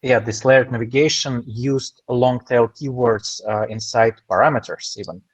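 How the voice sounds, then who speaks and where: A man's voice: medium pitch, moderate pace, husky, dry recording. A man taking part in an online roundtable, explaining an experience hesitantly with many fillers over a video call. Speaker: roundtable participant. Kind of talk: casual online roundtable chat. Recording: dull dry call audio.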